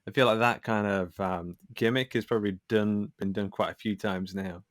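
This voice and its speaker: A man's voice: fairly low pitch, fairly even intonation, normal speed, dry recording, clean studio sound. A man speaking as a podcast guest, casually recounting his creative work in a self-deprecating way. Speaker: podcast guest, author and filmmaker.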